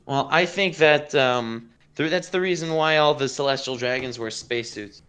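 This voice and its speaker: A man hosting a casual fan discussion on an online voice chat, talking in an animated, insistent way. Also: mid-range voice, moderate pace, smooth. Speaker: stream host and anime video creator.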